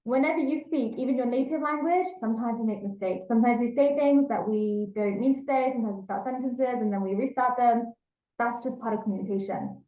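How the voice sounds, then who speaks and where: A woman's voice: a little high, brisk, dark tone, echoey room, low-quality recording. A woman with an English accent giving a language learning talk, explaining earnestly and repeating key points for emphasis. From England. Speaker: language teacher.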